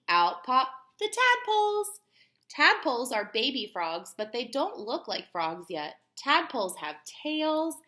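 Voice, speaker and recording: Woman teacher doing a kids read-aloud, bright clear-cut emphasis, explains stuff and asks questions, echoey room. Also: on the high side. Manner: expressive, emphatic, didactic, pausing to question listeners. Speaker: teacher reading a book aloud to young children. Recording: roomy, echoey room sound.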